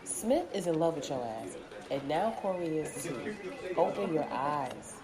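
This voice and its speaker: A woman plays a nosy best friend who pushes and teases but cares, her voice low and grounded. A crowd murmurs in the background behind her. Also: animated, a little slow. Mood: prying. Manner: prying, blunt, teasing. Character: pushy, caring young woman, the heroine's nosy best friend. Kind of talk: audio drama acting. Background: crowd chatter.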